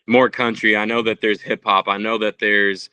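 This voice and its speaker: A man's voice: lowish voice, flat delivery, normal speed, sharp tone, smooth, dry recording. A man from Puerto Rico, a musician, chatting casually and earnestly as a podcast guest. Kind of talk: casual interview chat.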